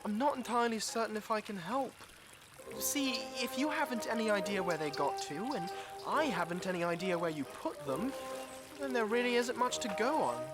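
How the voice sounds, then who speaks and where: A man's voice: high-pitched, lively intonation, normal speed, loud music behind the voice. A man playing a kindly, earnest, slightly flustered young marten in a children's animal audio drama, speaking politely and hesitantly with old-fashioned exclamations. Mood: reluctant helpfulness.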